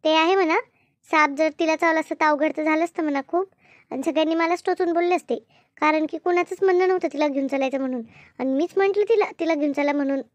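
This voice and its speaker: A woman's voice: sits high, fast, no echo, natural voice. A woman playing a busy, talkative village housewife in a comedy cartoon, chatting colloquially with a rural Maharashtrian accent. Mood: conceding. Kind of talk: animated comedy cartoon dialogue.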